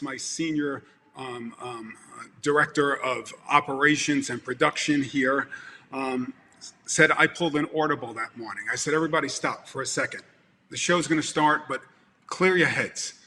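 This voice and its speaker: A man in his fifties with a Brooklyn accent, giving an animated motivational talk over a video call and repeating words for emphasis. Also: fairly high pitch, long reverb tail, slight background noise. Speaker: event producer speaking to a conference. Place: home room with plants and lamps, indoors. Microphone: video call webcam.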